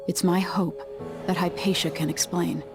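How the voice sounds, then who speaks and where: An actress playing a determined young deposed empress in a video game, measured and serious. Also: female voice, on the low side, fairly even intonation, music in the background, lightly acted. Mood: resolute, reflective. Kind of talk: video game voice acting, inner monologue and dialogue.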